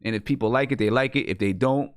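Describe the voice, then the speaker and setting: A man's voice: mid-range voice, quick pace, dry recording, clean studio sound. A man hosting a music show, talking casually as a beat maker and repeating his phrases for emphasis. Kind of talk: casual chat about music.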